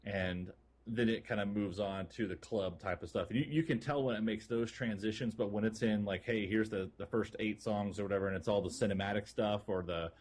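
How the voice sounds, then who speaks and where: A man's voice: lowish voice, fairly even intonation, brisk, darkish tone. A man co-hosting a casual gaming podcast, talking in an informal, enthusiastic, loosely phrased way. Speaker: podcast co-host.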